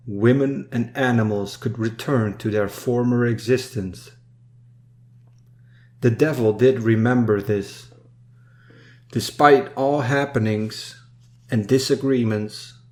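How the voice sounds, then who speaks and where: A man reading a dark narrative poem in a solemn, measured storytelling manner. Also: mid-range voice. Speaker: poem reader. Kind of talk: solemn scripted poetry recitation.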